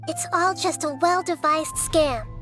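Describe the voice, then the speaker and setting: A very high-voiced, earnest girl deity. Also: a bit bright, soft background music. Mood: solemn, earnest. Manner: measured, earnest explaining. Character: young girl deity, gentle, wise and earnest. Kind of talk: English game dub, story dialogue.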